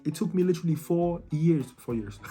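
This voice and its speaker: A man's voice: a little high, lively intonation, normal speed, a little mellow, faint music behind. A young man from Cameroon who talks casually to his viewers about coaching students, friendly, with many ums and repeated words. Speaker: YouTuber and student life coach.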